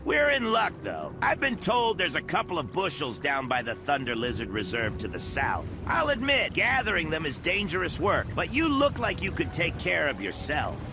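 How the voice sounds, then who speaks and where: A man voicing a shady, excitable herb dealer in a fantasy game, with a creaky voice. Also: on the high side, normal speed, hardly any pauses, music in the background. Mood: wheedling. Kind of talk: video game character dialogue.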